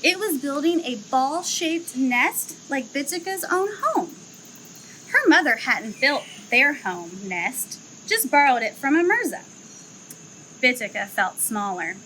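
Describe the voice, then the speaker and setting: A young woman who works at a zoo reads a children's picture book aloud outdoors, in an expressive storytelling manner. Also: high-pitched, a little hiss or hum, poor audio. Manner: slow, expressive storytelling, livelier chat afterward. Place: outdoor zoo enclosure with trees.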